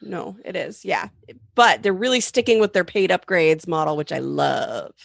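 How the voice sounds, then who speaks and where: A woman's voice: lowish voice, normal speed, creaky, no echo, studio quality. A woman chatting casually about tech as a guest on a podcast.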